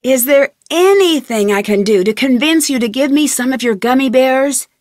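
A woman's voice: sits high, moderate pace, darkish tone, dry recording, studio quality, lightly acted. A woman voicing a young woman detective in game voice lines, asking earnest, inquisitive questions in a polite tone. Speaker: voice actor. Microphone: studio mic, dry.

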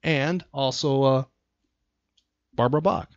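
A man hosting a podcast in casual banter with a co-host, talking in an animated, teasing way and repeating himself for emphasis. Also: medium pitch, takes it slowly, dry recording, highs cut off.

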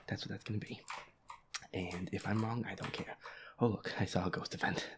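A man's voice: lowish voice, steady tone, brisk, noisy background, natural voice. A man playing a feisty werewolf boyfriend in an audio roleplay, muttering nervous, sweary complaints while playing a horror game. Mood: rattled, resigned. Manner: sweary, jittery, muttering complaints under the breath.

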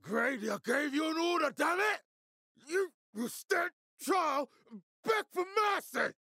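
An actor playing a menacing middle-aged military leader in a video game, authoritative, curt and measured. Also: male voice, very high voice, lively intonation, vocal fry, sharp tone, no room sound, raised voice, clean studio sound, exaggerated voice. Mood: furious, commanding. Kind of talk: dramatic video game voice acting.

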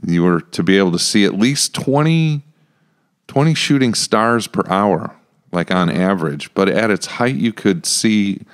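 Low male voice, American accent, podcast host, casual, rambling, thinking aloud, close studio mic. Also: expressive, unhurried, clean tone. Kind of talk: casual discussion. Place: small podcast room with acoustic panels. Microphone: close studio mic on stand.

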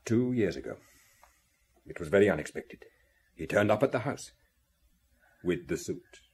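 An actor in a radio drama playing a guilt-ridden middle-aged family man, husky and halting as he confesses gravely. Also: low-pitched, lively intonation, a little mellow, exaggerated voice. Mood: subdued, confessing.

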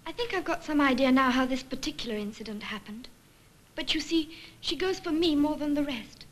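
An actress playing an earnest, hesitant young nurse in a 1950s instructional film. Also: female voice, high-pitched, lively intonation, a little fast. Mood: anxious, doubtful. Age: young adult. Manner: hesitant, candid. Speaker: junior nurse. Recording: faintly noisy 1950s film soundtrack.

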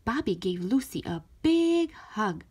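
A woman narrating a children's story aloud in an expressive, careful storytelling manner, as a storytime host. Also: on the high side, measured pace, husky. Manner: animated, careful storytelling delivery.

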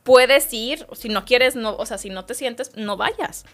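A woman, a guest on an interview podcast, telling work stories in a lively, chatty way. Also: a little high, measured pace. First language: Spanish. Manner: lively storytelling, quotes others' words. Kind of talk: casual interview chat.

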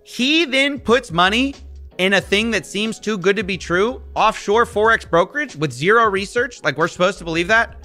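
A man's voice: high voice, animated, moderate pace, bright, forceful tone, soft background music. A man in his thirties giving investigative commentary in an incredulous, emphatic way at a close studio mic. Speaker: video presenter investigating scams. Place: small indoor studio. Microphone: close desk microphone.

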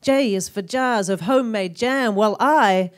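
A woman with a New Zealand accent performing slam poetry, with deliberate, rhythmic phrasing and dramatic emphasis. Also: on the high side. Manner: emphatic, deliberate phrasing with swings between hushed and forceful delivery. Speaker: slam poet.

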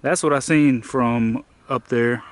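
A man in his thirties filming a casual travel vlog with the camera held at arm's length, chatting in a relaxed way and easily impressed by what he sees. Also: medium pitch, slow pace. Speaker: travel vlogger. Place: outdoors on a country road, hotel and town. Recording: background music over the voice. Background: loud music.

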